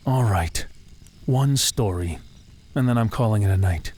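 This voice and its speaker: A man's voice: lowish voice, lively intonation, takes it slowly, darkish tone, breathy edge, full character voice. An actor playing a guarded, self-deprecating young American working-class man in an audio drama, with a slangy, laconic way of talking. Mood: wry storytelling. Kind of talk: naturalistic audio drama dialogue. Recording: music playing behind the voice.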